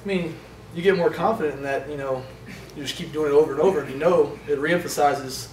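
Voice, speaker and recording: A young man, a college quarterback at a postgame press conference, answering questions in a halting way with many fillers and restarts. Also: on the high side. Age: young adult. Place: indoor press room in front of a sponsor backdrop. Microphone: table microphones from a short distance. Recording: roomy, distant sound with faint noise.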